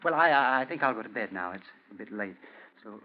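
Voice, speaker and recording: A man's voice: fairly low pitch, animated, brisk, natural voice. An actor playing a mild, nervous, evasive middle-aged man in hiding, stammering hesitantly in 1940s radio drama style. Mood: nervous, flustered. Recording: muffled 1940s radio broadcast.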